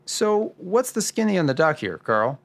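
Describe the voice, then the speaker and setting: Higher-pitched, orderly male sleuth played by a man. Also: very animated, moderate pace. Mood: assured, instructive. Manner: didactic, orderly. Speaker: lead expert guiding the discussion. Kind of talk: scripted radio drama dialogue.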